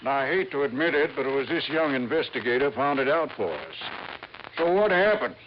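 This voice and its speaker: An American man playing a gruff, sarcastic police sergeant with a folksy, needling manner in an old radio drama. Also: mid-range voice, animated, brisk, close to an everyday voice. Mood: sarcastic, accusing. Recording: muffled, slightly noisy old radio recording.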